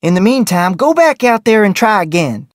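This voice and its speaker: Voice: mid-range voice, very animated, normal speed, no echo, studio quality, natural voice. An actor voicing a folksy ranch cook in a mystery video game, with colloquial Western talk. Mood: brisk, bossy. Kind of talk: video game character voice lines.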